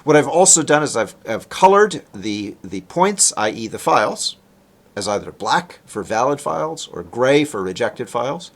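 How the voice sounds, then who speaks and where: Man lecturing with lively, varied intonation. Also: mid-range voice, moderate pace, creaky. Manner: explanatory, conversational, with rhetorical questions. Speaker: researcher presenting. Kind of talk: technical lecture with explanatory narration of slides.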